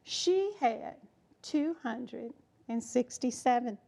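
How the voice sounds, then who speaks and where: A woman reads a children's story aloud expressively, with emphatic delivery, dramatic pauses and chatty asides to her young listeners. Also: a little high, animated, gravelly, dark tone.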